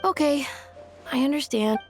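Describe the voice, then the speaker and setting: A woman's voice: sits high, moderate pace, music in the background. A woman voicing a small, timid, polite child character in a video game.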